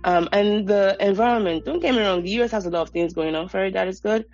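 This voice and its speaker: A woman's voice: mid-range voice, fast, a little mellow, dull audio. A woman host from Nigeria who asks interview questions and offers thoughtful, encouraging comments.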